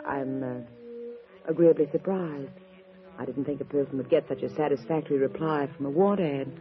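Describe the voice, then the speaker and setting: A woman's voice: low-pitched, moderate pace, natural voice. An actress playing an anxious, guarded woman who pleads for help in a 1940s radio drama. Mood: tense, pleading. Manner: urgent, pleading. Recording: dull old radio broadcast with music.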